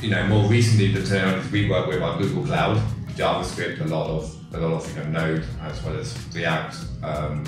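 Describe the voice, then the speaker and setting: A man's voice: sits low, brisk, few pauses. An English man in his forties, a tech company co-founder, talking casually in an interview with lots of fillers and restarts. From England. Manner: relaxed, rambling with many fillers and restarts. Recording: echoey room, far from the mic, music behind.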